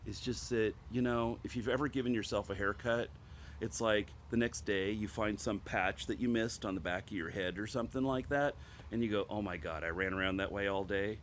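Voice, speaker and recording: Low-voiced man with a stuffed-up cold. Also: brisk, slight background noise. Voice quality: slightly stuffed-up, congested. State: has a head cold, clearing throat. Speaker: online show host. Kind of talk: casual opinion monologue to viewers.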